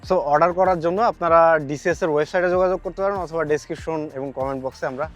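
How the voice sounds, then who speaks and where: Fast, higher-pitched Bangladeshi man presenting products. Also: faint music behind. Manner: addresses viewers directly, mixes in English words. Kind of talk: promotional product overview to camera.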